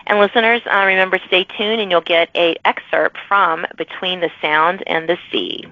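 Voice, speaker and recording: Woman podcast host with a low voice. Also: steady tone, moderate pace, no echo. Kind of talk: closing an interview. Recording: dry, dull lo-fi podcast audio.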